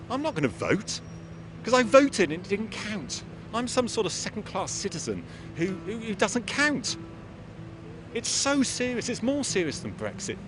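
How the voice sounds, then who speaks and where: Angry male voter, high lively voice, street interview, music under. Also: background noise. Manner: indignant, insistent.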